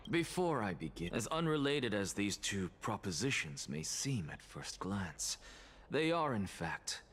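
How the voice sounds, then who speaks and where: A man's voice: on the low side, sing-song, measured pace, a bit bright, breathy edge, exaggerated voice. A man playing a proud, condescending undead scholar in a story game, lecturing with precise, haughty delivery. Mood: coolly lecturing. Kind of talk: dramatic game voice acting.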